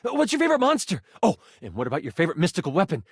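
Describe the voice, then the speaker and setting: A man's voice: on the high side, huge pitch swings, rapid-fire, husky, no echo, clean studio sound, full character voice. A man voicing an eager, nerdy living twenty-sided die in a video game, a theatrical game chronicler with geeky, mock-archaic flourishes. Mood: giddy excitement. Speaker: game master character in a video game. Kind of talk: animated video game voice acting.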